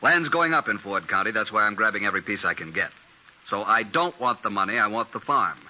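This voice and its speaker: A cold, grasping American land baron in a vintage radio western. His voice is very bright and hard, and he talks quickly and curtly. The recording is muffled. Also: on the low side, animated. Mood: cold, smug. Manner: curt, haughty. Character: grasping, cold, imperious frontier land-grabber. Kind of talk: 1950s radio western acting.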